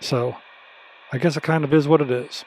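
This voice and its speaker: A man's voice: a little high, steady tone, normal speed, a little mellow, slight background noise, low-quality recording. A man hosting a casual hands-on demonstration, talking in a plainspoken, folksy way. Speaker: video host demonstrating radio gear.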